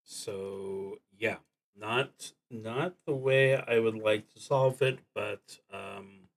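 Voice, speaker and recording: Guy doing solo rpg narration, kinda deep voice, real slow n halting, lots of long pauses. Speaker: solo roleplaying game streamer. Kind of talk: improvised narration of a solo tabletop game, thinking aloud.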